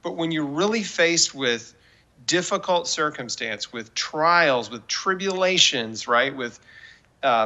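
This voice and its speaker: A man's voice: medium pitch, sharp tone. A man in his forties speaking as an interview guest in an emphatic, persuasive way, giving earnest faith-based encouragement. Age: 40s. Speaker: guest author and cancer survivor.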